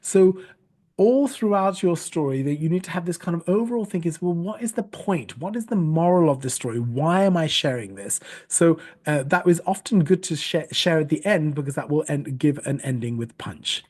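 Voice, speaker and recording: Brief: man from Sri Lanka, husky, lively intonation, patient teacher explaining step by step. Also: a little high, moderate pace. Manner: patient and explanatory, with frequent restarts. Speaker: storytelling coach. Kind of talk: online teaching talk.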